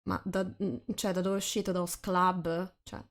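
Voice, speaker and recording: A woman's voice: lowish voice, flat delivery, moderate pace. A woman in an amateur live reading group, talking casually with the others. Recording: faint music behind the voice.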